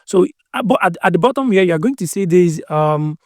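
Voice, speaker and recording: Male tutorial presenter, slightly high voice, dry close sound, unscripted step-by-step delivery with repeated phrases. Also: lively intonation, brisk, darkish tone, no echo. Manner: repeats phrases and restates instructions.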